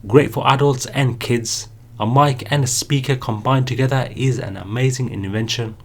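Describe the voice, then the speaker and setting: A man's voice: mid-range voice, steady tone, normal speed, husky. A man narrating a scripted product review as the host of a review channel.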